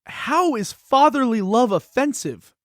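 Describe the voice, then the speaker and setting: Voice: medium pitch, lively intonation, measured pace, dry recording, studio quality, natural voice. An actor in a musical puppet show voicing an anxious, earnest children's book that pleads its case expressively. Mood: pleading, indignant. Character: earnest, anxious award-winning children's book facing a ban.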